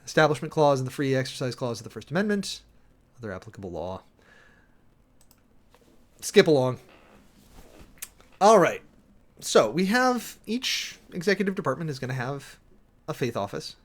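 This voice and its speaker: A man's voice: on the high side, very animated, moderate pace, long pauses. A man giving a dramatic, emphatic reading of an official document, breaking off often for sardonic asides and commentary as host. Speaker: host, preacher.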